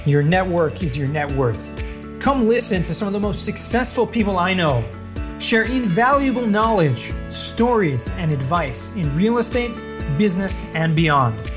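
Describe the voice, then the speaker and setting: An announcer reads a scripted podcast intro in a promotional style. The pitch is mid-range, and plucked guitar music plays clearly behind the voice. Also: animated, measured pace.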